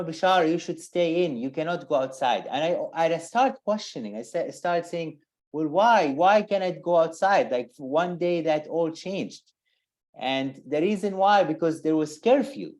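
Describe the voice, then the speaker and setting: A man with a Palestinian accent, an interview guest telling personal stories informally, haltingly and with repeated words. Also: on the high side, darkish tone. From Bethlehem, Palestine.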